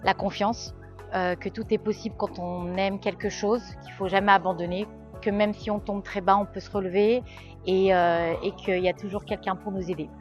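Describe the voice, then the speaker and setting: A woman's voice: medium pitch, fairly even intonation, normal speed. A woman in her forties giving a personal interview testimonial as a volunteer mentor. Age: 40s. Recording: slightly dull sound, music behind. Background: music.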